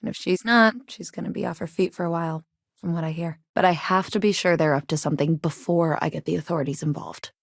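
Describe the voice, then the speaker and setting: Game role: earnest, plain-spoken woman innkeeper in a rough sci-fi underground. Lowish voice, creaky edges, dry studio sound. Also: steady tone, fast talker, no room sound. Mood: calm, earnest. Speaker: video game character voice. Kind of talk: game dialogue lines, conversational.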